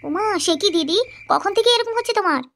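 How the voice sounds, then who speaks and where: A woman voicing a soft-spoken, obliging middle wife in a cartoon. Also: very high voice, lively intonation, fast, vocal fry, over loud music, pitched above the performer's own voice, exaggerated voice. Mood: kind. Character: gentle naive middle wife. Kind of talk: cartoon voice acting.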